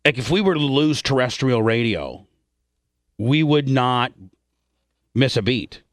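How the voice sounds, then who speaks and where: A man's voice: medium pitch, expressive, a little slow, no echo, studio quality. A man hosting a radio show with unscripted talk, blunt and salty in the way he speaks.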